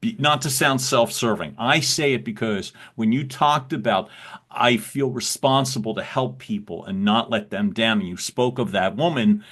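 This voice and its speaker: A man hosting a podcast interview, with a loud voice and an affirming way of speaking. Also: medium pitch, moderate pace. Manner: warm, loud voice, affirming listener. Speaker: podcast host. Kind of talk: casual interview.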